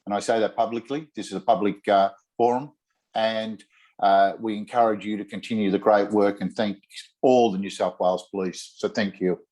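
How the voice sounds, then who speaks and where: A man's voice: on the low side, fairly even intonation, normal speed, darkish tone. An older man moderating an online panel, thanking each guest in effusive, formal words over a video call.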